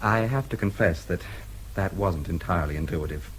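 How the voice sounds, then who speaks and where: An actor playing a shrewd, easygoing American newsman in a radio drama, with a husky voice and a casual, probing manner. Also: sits low, normal speed, a little hiss or hum, close to an everyday voice.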